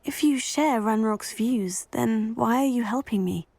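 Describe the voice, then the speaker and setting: A woman's voice: a little high, unhurried, darkish tone, no room sound. A woman playing an earnest young witch in a video game, polite, composed and inquisitive. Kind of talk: video game cutscene acting.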